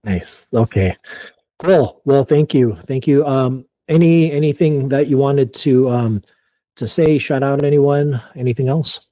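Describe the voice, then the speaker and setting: A man hosting a podcast interview, asking relaxed questions and giving short, easygoing replies. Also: medium pitch, a little slow, dry recording, low-quality recording. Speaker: podcast host. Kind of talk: casual interview.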